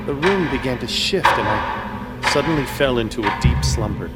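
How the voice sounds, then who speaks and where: A man's voice: mid-range voice, lively intonation, normal speed, loud music behind the voice. A man narrating a horror comedy radio play in the first person, wry and storytelling.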